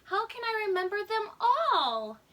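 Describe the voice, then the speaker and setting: A woman voicing an eager, playful young boy alligator in a children's storybook, with an excitable manner. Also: very high-pitched, unhurried, far from the mic, pitched above the performer's own voice, exaggerated voice. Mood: groaning.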